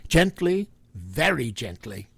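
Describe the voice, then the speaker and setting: An actor playing a grave, urgent professor in a radio horror drama, probing and commanding, with a gravelly husky voice. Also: male voice, medium pitch, big pitch swings, takes it slowly, a bit bright, no echo, full character voice. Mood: grave urgency. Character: Van Helsing, grave, urgent Dutch professor and vampire hunter. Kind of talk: vintage radio drama acting.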